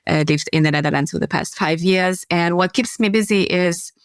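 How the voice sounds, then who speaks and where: A woman's voice: lowish voice, dry recording, clean studio sound. A woman from Romania, a consultant guest on a podcast, talking in a friendly, thoughtful way over a remote call. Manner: friendly, thoughtful, some filler pauses. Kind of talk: conversational podcast interview.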